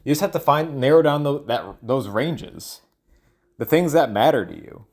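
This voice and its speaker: A man's voice: mid-range voice, animated, normal speed. A man thinking aloud in a loose solo monologue, hesitant and often trailing off as he searches for words. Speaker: solo audio log creator.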